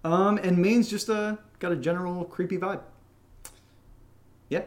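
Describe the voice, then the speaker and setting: Male podcast co-host, slightly high voice, casual chat, playful teasing delivery. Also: fairly even intonation, unhurried. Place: indoors in a home.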